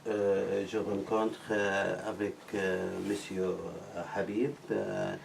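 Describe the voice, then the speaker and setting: Slow, halting man with a gravelly voice. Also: lowish voice, flat delivery, distant mic, slight background noise. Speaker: interviewer. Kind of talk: interview questions.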